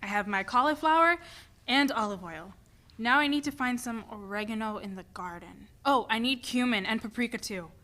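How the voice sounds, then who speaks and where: A woman's voice: on the high side, normal speed, bright, forceful tone. A woman playing a stressed, driven mother and restaurant owner in a dramatic play reading. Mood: determined, preoccupied.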